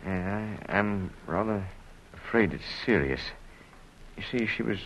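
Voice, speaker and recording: Gravelly, hesitant doctor, low voice. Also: male voice, animated, measured pace, muffled recording, a little hiss or hum. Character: grave, hesitant hospital doctor, gently matter-of-fact. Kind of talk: old radio drama acting.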